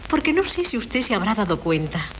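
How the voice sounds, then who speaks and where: An actress playing a coy young wife who feigns madness in a 1970s radio play, with hesitant, plaintive theatrical delivery. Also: female voice, mid-range voice, sing-song, brisk, lightly acted. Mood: coy, confiding. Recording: noisy 1970s radio recording.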